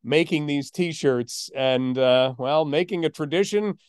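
A man hosting a hockey podcast as a play-by-play announcer, telling stories in a measured way with drawn-out emphasis. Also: on the high side, darkish tone, clear, resonant tone, no echo, studio quality. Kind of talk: sports podcast conversation.

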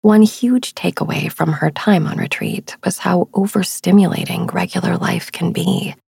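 Breathy, hushed woman guiding sleep. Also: lowish voice, moderate pace, no room sound, clean studio sound. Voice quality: breathy, hushed, often near a whisper. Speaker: podcast host and sleep meditation guide. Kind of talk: soft, soothing sleep podcast narration.

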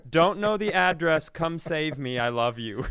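A man co-hosting a podcast, telling self-deprecating, profane comic stories in an animated, exasperated way. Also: fairly high pitch, unhurried, clear, resonant tone, no room sound, clean studio sound. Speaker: podcast co-host. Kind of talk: comedic storytelling in casual podcast chat.

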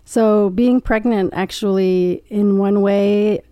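Quiet, soft-spoken woman in her forties. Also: mid-range voice, steady tone, takes it slowly, clean tone, dry recording, muffled recording. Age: 40s. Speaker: guest. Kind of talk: casual interview chat. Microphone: studio podcast mic.

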